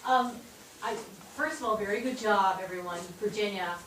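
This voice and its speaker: Halting woman speaking from far off. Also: medium pitch, fairly bright tone. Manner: halting, thinking aloud. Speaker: audience member giving feedback. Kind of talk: informal spoken critique. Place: indoor theatre audience. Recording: echoey theatre, distant mic, noisy.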